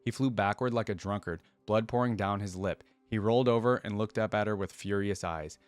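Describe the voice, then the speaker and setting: A man with a fairly low voice and a close, dry sound hosts a reaction video. He reads fantasy prose aloud and drops in informal, slangy asides of his own. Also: brisk, dry recording, soft background music. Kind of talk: reading a fantasy story aloud with casual commentary.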